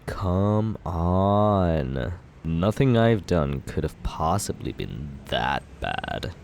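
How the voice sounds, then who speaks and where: A man playing a smug young villain with a deep, low voice, teasing and unbothered as he talks his way out of everything. He keeps it relaxed and self-justifying, with a faint hiss sitting under the ASMR roleplay. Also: drawn-out words, gravelly, full character voice. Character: cocky young male villain courting the listener.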